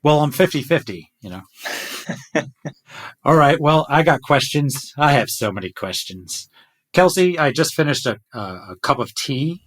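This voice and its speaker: A man who works as a polar expedition diver, chatting casually with colleagues in an excitable, animated way. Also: unhurried. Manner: excitable, animated, jumps up in pitch when enthusiastic. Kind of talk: casual group chat.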